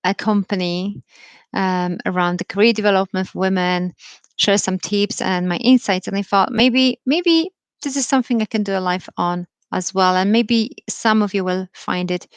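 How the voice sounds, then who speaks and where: A woman's voice: mid-range voice, moderate pace, smooth, dry recording. A woman in her thirties from Poland with a Polish accent, giving an informal live talk, thoughtful and full of ums and restarts. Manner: thoughtful, many fillers and restarts. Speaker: career speaker.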